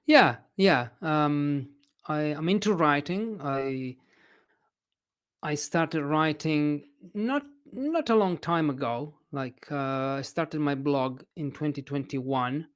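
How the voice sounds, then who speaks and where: A man from Italy with an Italian accent, an English teacher chatting as a podcast guest in a hesitant, expressive way. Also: on the high side, takes it slowly, clean tone. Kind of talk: casual interview chat.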